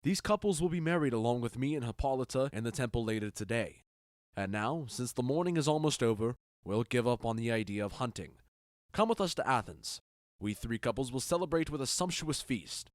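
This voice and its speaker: A man's voice: medium pitch, expressive, fairly quick, no room sound, studio quality, lightly acted. A man playing a genial, commanding duke in a Shakespeare audio drama, stately and authoritative. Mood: decisive, gracious.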